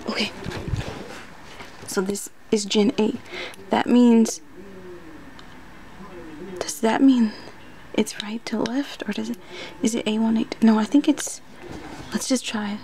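A woman doing an ASMR roleplay in soft speech that slips into whispers, muttering hesitantly to herself like an unsure student. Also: fairly high pitch, fairly even intonation, slow pace, long pauses. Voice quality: soft, often breathy whispering. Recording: noisy close recording with clicks.

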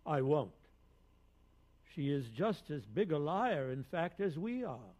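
A man in his seventies playing a weary old wise man in a wry, deliberate dramatic verse reading. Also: fairly high pitch, darkish tone. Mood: wry, searching. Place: concert stage in a radio performance hall. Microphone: close studio mic on boom.

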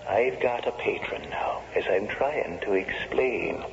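An ominous ghost serving the devil in an old radio play. His voice is low and slow, every word measured. The recording is muffled and noisy. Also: animated. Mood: coolly bargaining. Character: ghost of murdered man, the devil's solemn messenger. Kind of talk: 1970s radio drama acting. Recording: muffled vintage radio with clear noise.

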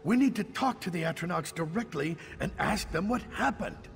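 Agitated captive mage, gravelly and swooping. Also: male voice, sits high, expressive, moderate pace. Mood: wary, explaining. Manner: agitated, theatrical. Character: fearful, indignant middle-aged captive mage. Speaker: game character actor. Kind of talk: video game dialogue acting. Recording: long reverb, background noise.

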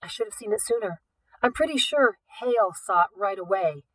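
A woman's voice: medium pitch, lively intonation, brisk, a bit bright, no echo, low-quality recording. A woman voicing a determined, suspicious teenage girl thief in an audiobook, pointed and teasing. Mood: wry, accusing.

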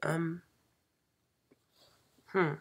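A woman who is sick and losing her voice, hosting a live stream craft demo at a table and talking slowly with many fillers and pauses. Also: sits low, flat delivery, breathy edge. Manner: slow, hesitant, many fillers, soft. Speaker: craft channel host. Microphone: overhead camera, hands only.

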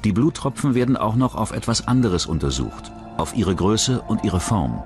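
Very deep-voiced male documentary narrator. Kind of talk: scripted true-crime documentary narration. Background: background music.

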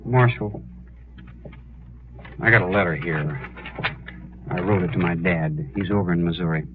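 An actor playing an earnest, humble American prisoner in a 1950s radio western, speaking haltingly. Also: male voice, deep voice, phone-quality sound. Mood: resigned, earnest. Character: earnest young condemned prisoner protesting his innocence. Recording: thin, noisy old radio recording.

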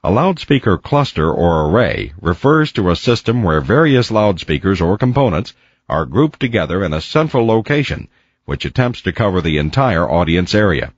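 Male instructional narrator, low register, measured and didactic, very dry voice-over with slightly muffled sound. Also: very animated, dry recording. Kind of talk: scripted educational narration. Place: close, dry voice-over recording.

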